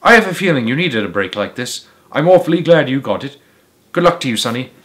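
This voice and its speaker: A man voicing a fat, kind-hearted male shopkeeper who barks orders at a crowd and speaks warmly to a boy. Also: medium pitch, sing-song, fast talker. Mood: kindly. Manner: loud and commanding, then gentle whispering.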